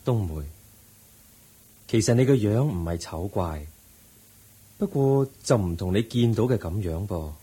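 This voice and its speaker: A man voicing a calm, wise Buddha. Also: lowish voice, breathy edge. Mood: gentle. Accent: Cantonese. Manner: patient, instructive, measured. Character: calm wise male Buddha teaching gently. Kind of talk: 1980s Cantonese radio drama acting.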